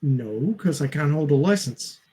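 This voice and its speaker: A man chatting casually as a podcast co-host on a headset mic, pausing to search for words as he explains. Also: fairly high pitch, lively intonation, mellow, husky. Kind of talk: casual film podcast chat.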